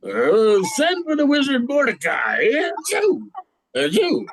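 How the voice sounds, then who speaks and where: A man's voice: very high voice, huge pitch swings, slow pace, fairly bright tone, slight background noise, heavily acted. A man playing a fussy, pompous king in a comic radio play, hesitant and blustering. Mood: flustered.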